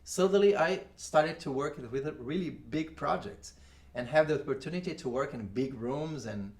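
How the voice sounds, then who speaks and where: Guest, male, 40s, Brazilian Portuguese accent, higher voice, restarts a lot. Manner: halting non-native English with many restarts. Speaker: guest, audio engineer. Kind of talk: casual interview chat.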